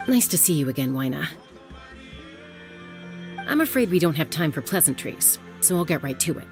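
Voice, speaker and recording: A woman's voice: sits low, sing-song, moderate pace, music in the background. A woman voicing a cool, businesslike peacekeeper in a video game, direct and self-assured. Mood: brisk, composed. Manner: direct, composed.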